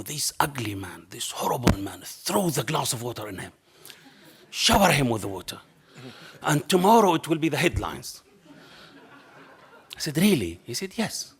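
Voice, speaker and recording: A man's voice: medium pitch, breathy edge. A middle-aged man with a strong Arabic accent, speaking on a panel and telling a story in which he acts out both sides of a conversation. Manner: passionate, non-native phrasing. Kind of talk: anecdotal public talk. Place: dark lecture hall panel table. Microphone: table microphone.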